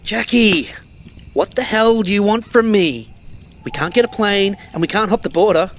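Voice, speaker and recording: A man's voice: high voice, normal speed, slight background noise. A man acting as a pragmatic, exasperated survivor in an audio drama, talking in a blunt, argumentative way. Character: Marcus, blunt pragmatic male survivor, exasperated but caring. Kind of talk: naturalistic audio drama dialogue.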